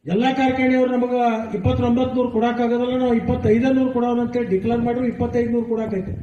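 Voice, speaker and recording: A man's voice: extremely high pitch, flat delivery, racing, slight background noise. A man with a North Karnataka accent giving an emphatic, explanatory public speech. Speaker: speaker at a public meeting. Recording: muffled, echoey public-address sound, distant mic.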